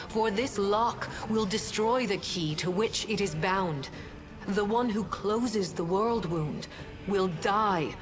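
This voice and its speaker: An actress playing a righteous, stern goddess in a video game, speaking in a grave, measured and formal manner. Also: female voice, mid-range voice, close to an everyday voice. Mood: grave, accusing. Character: solemn, righteous goddess of justice, stern and noble.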